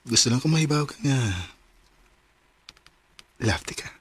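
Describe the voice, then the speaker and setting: A man's voice: mid-range voice, slightly rough. A man with a Cebuano accent playing an earnest, shy young suitor who is smitten, in a radio romance drama. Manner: earnest, halting, courting.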